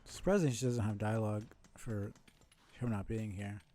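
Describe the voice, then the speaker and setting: A man's voice: fairly low pitch, moderate pace, warm tone. A man doing casual live gameplay commentary, thinking aloud and swearing now and then. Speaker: live streamer playing a video game. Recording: faint background noise.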